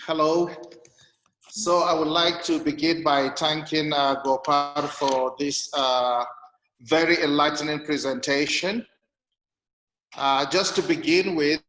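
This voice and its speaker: A man speaks very slowly, in measured phrases with pauses, his pitch slightly high. He sounds like a participant commenting from a heavily reverberant room. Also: steady tone, fairly bright tone, a little hiss or hum. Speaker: webinar participant asking questions. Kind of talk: spontaneous comments and questions. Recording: echoey hall-like room over online call.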